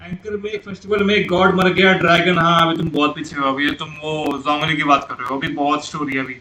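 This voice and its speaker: Very fast-talking male game streamer. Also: on the high side, level tone, sharp tone, a little hiss or hum, poor audio. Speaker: game livestreamer. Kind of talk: casual livestream chat. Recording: game music behind the voice.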